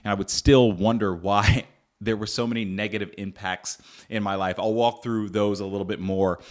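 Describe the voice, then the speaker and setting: A man's voice: fairly low pitch, fairly even intonation, moderate pace. A young man from Northern Virginia hosting a solo podcast, earnest and reflective, with frequent fillers and restarts. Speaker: podcast host. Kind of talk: casual solo podcast talk.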